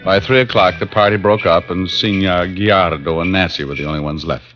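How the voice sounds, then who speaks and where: Low-voiced wry private eye. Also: male voice, steady tone, brisk, a bit bright. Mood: brooding narration. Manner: wry, laconic. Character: wry, self-assured male private detective, an ex-cop. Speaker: actor playing the lead detective and narrator. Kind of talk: 1940s-50s radio acting, hard-boiled narration. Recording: dull vintage radio recording with music.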